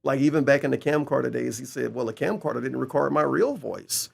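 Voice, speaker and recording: Sarcastic, gravelly man reacting on stream. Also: mid-range voice, brisk, soft background music. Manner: incredulous, sarcastic, profane. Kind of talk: casual mocking reaction commentary.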